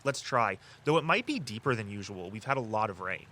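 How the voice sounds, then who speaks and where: A man's voice: on the low side, expressive, fairly quick, sharp tone, exaggerated voice. A man playing a friendly, easygoing walking tour guide and history buff in an audio drama, casual and good-natured. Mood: informative.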